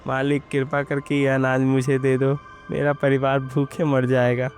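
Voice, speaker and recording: A man voicing a poor, hungry farm labourer in an animated village story, troubled and pleading in manner. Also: medium pitch, measured pace, faint music behind, voice pushed up, lightly acted. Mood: desperate pleading.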